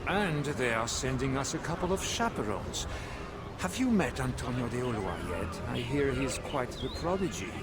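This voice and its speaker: Animated gentleman, acted by a man, very noisy surroundings. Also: mid-range voice, moderate pace, lightly acted. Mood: confiding. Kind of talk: dramatic game cutscene acting. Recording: very loud outdoor game ambience.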